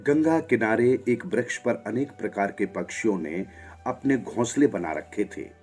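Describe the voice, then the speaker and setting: A man's voice: mid-range voice, darkish tone. A man narrating a scripted moral story expressively as a storyteller, with music behind him.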